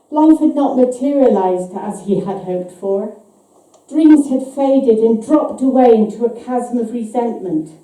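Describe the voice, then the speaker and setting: A woman giving a live, measured and suspenseful dramatic reading of a short story. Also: fairly high pitch, mellow. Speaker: author reading her own short story. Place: live reading event, voice picked up from afar. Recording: echoey hall, distant microphone.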